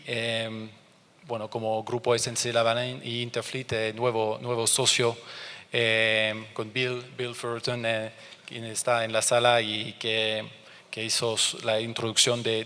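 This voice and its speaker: A halting French Canadian man speaking in a reverberant conference hall. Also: mid-range voice, pushed and bright. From France and Canada. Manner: halting, searching for words, many restarts. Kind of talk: conference presentation.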